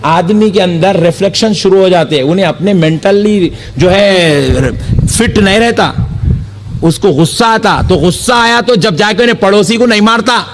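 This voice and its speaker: High-voiced young preacher telling stories. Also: male voice, moderate pace. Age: young adult. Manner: humorous, animated storytelling. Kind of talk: storytelling sermon. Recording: live gathering with audience noise. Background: audience reactions.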